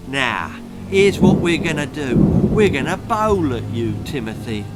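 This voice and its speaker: A man's voice: medium pitch, sing-song, measured pace. A man voicing a cocky schoolboy bully in a children's storybook, taunting with mock-friendly, sneering menace. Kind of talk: children's horror-comedy storybook reading. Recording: music behind.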